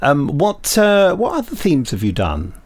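A man, perhaps middle-aged, hosting a podcast in a casual, chatty, animated style with interjections. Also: a little high, unhurried, faint music behind. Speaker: podcast host interviewing guests. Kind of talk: casual chat.

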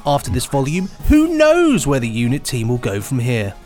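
A man's voice: medium pitch, huge pitch swings, moderate pace, a little mellow, soft background music. A man co-hosting a podcast in a playful, jokey style, moving between chat and scripted announcements. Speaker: podcast co-host. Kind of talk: chatty, semi-scripted podcast presenting.

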